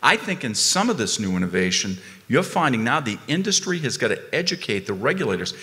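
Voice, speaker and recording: A man speaking on a conference panel, emphatic and animated, repeating phrases as he builds a point. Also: medium pitch, fairly quick, long reverb tail. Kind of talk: panel discussion. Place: conference ballroom stage.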